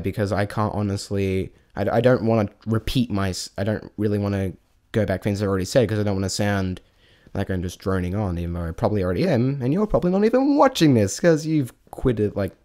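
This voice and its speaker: A man's voice: on the low side, expressive, brisk, darkish tone. A man giving a casual, unscripted spoken review, rambling and thinking aloud in a self-deprecating way. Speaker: amateur vlog reviewer.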